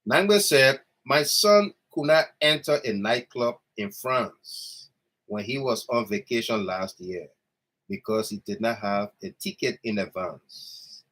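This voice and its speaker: A man with a Liberian accent hosting a political talk show, speaking emphatically and deliberately and repeating phrases. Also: medium pitch, lively intonation, fairly bright tone.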